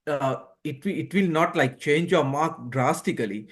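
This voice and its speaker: A man's voice: fairly high pitch, moderate pace. A man who is a non-native English speaker, answering interview questions as a guest doctor, rephrasing hesitantly as he explains. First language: not English. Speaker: guest, doctor who passed a surgical exam.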